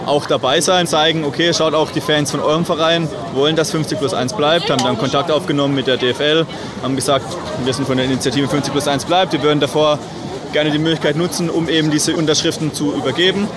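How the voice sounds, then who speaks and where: Man in his twenties, earnest explaining, higher voice, crowd noise around. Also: steady tone, fast. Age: 20s. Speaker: football fan, initiative founder. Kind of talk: interview answers. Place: outdoors at a crowded stadium fest. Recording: crowd noise behind, outdoors.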